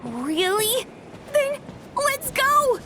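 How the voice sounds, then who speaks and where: A woman voicing a small, naive and eager fairy companion in a video game, with a squeaky childlike voice. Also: big pitch swings, slow, heavy background noise, full character voice. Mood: excited.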